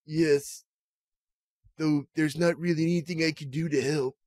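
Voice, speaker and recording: A man's voice: on the high side, steady tone, measured pace, a little mellow, no echo, clean studio sound. A man voicing a meek, earnest, hesitant actor character in an amateur game fandub. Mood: downcast. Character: meek, earnest male action-show actor, gentle and unsure. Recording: music faintly behind the voice.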